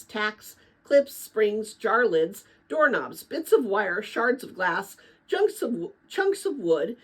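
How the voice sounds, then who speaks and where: Expressive woman reading aloud, slightly husky. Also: on the high side, rough recording. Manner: expressive, chatty when commenting to listeners. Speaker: read-aloud narrator. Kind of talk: dramatic children's book read-aloud with casual asides.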